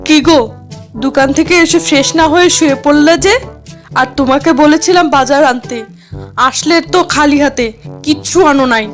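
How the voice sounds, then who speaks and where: A woman's voice: high-pitched, normal speed, natural voice. A woman voicing a nagging, scheming animal wife in a children's cartoon. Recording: music under the voice.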